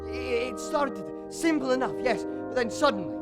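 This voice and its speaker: A man's voice: very high-pitched, animated, over loud music. A male actor playing a proud, envious court composer in a formal, theatrical stage monologue. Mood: awed, troubled. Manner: formal, grandiose, deliberate with dramatic pauses.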